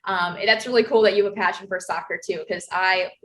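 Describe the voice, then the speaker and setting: A woman's voice: mid-range voice, steady tone, fast, fairly bright tone, a little hiss or hum. A woman in casual conversation, talking with gushing enthusiasm.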